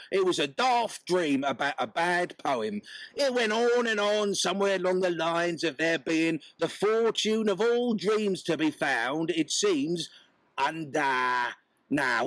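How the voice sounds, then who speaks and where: A man voicing a loud, bossy London butcher's wife, brash and scolding. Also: high voice, no echo, pitched above the performer's own voice, heavily acted, low-quality recording. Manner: brash, scolding, lays down the law.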